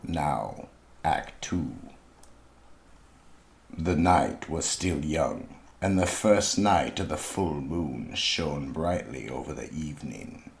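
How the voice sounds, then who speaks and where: A man narrating a radio mystery drama in an ominous, deliberate storytelling manner. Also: rumbling low, expressive, vocal fry.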